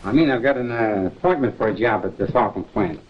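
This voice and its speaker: An actor playing a polite, awkward undercover agent, hesitant and deferential, in a 1940s film. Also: male voice, mid-range voice, lively intonation, brisk, lightly acted. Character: polite, awkward young male agent posing as job seeker. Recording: muffled noisy 1940s film soundtrack.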